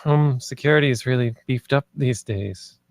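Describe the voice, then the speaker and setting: A man's voice: medium pitch, moderate pace, vocal fry, close to an everyday voice. A man playing an earnest, loyal and determined young friend in a script table read. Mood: concerned.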